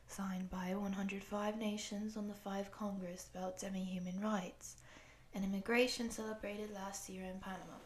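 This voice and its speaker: A woman playing a polite, earnest program interviewer in a scripted roleplay, speaking softly and breathily in a measured, formal, official manner. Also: medium pitch, lightly acted. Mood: stern, straightforward. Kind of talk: soft-spoken scripted roleplay audio.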